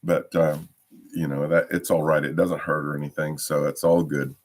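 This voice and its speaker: A man leading an informal Bible study, a little croaky from a cough, hesitant with many ums and restarts. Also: extremely low pitch, little pitch movement, normal speed.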